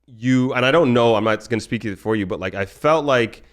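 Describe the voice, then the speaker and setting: A man hosting a podcast in casual chat, talking in a halting way with frequent "like" asides. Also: medium pitch. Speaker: podcast host.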